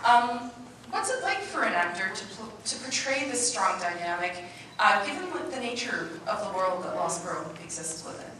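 A woman's voice: mid-range voice, big pitch swings, normal speed, sharp tone. A woman moderator asking earnest, admiring questions at a convention panel. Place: large convention hall. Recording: echoey hall from far off, noisy.

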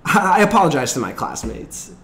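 A man's voice: fairly high pitch, big pitch swings, brisk, fairly bright tone, voice pushed up. A man voicing a clumsy schoolboy in a story, stammering breathless, hesitant apologies. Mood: sheepish, apologetic.

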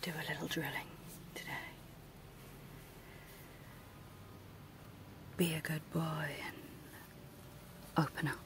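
A woman playing a sinister, scolding evil clown dentist in a breathy ASMR roleplay whisper with drawn-out pauses. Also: low-pitched, flat delivery, noisy background. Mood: sinister coaxing.

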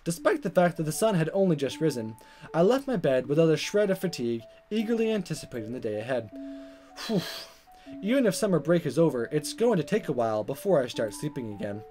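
A man reading a visual novel aloud as a let's player, in expressive narration with casual asides and stumbles that he corrects. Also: fairly high pitch, fast talker, faint music behind. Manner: animated, stumbles over words and corrects himself.

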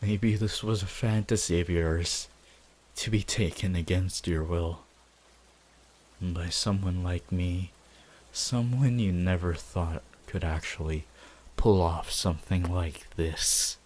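A man voicing a sinister young male villain in an ASMR roleplay, possessive and grandiose, speaking in a gloating, deliberate manner with dramatic pauses. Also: sits low, fairly even intonation, a little mellow. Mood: possessive, hypnotic. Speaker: voice actor.

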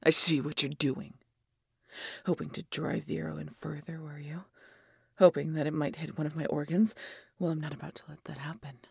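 A woman voicing a proud, haughty wounded female dragon in a fantasy audio roleplay, with breathy, husky, imperious delivery. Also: sits low, flat delivery, darkish tone, dry recording, studio quality, full character voice. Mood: angry, defiant. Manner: imperious, theatrical, deliberate phrasing.

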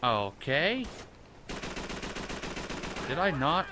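A man's voice: fairly high pitch, big pitch swings, drawn-out words, sharp tone, slight background noise. A man hosting a gaming channel, talking casually over gameplay with a stuffy nose, rambling and swearing at the game. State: stuffy nose from sinus trouble. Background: game gunfire and vehicles.

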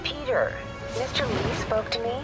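A woman's voice: on the high side, normal speed, close to an everyday voice. A woman playing a caring, motherly older woman in a video game, concerned and affectionate. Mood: worried, hopeful. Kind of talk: cinematic video game acting. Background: loud background music.

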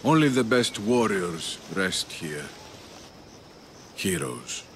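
A persuasive older arena patron in a game cutscene, measured and dramatic. His voice is bright and lively in pitch, his pace unhurried. Faint outdoor ambience sits behind him. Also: a little hiss or hum, full character voice. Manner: measured, coaxing, dramatic pauses. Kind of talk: video game cutscene acting.

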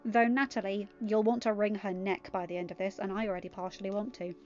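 A woman's voice: mid-range voice, little pitch movement, fast, a little mellow, lo-fi sound. A woman with an English accent reading fan fiction and commenting on it casually. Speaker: amateur fan fiction reader. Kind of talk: storybook narration and casual commentary.

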